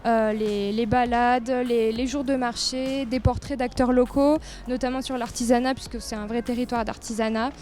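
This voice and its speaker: A woman's voice: fairly high pitch, fairly even intonation, normal speed, hardly any pauses, a little mellow. A woman giving an informal promotional interview as a tourist office representative, speaking with enthusiasm. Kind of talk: informal promotional interview, describing local trails.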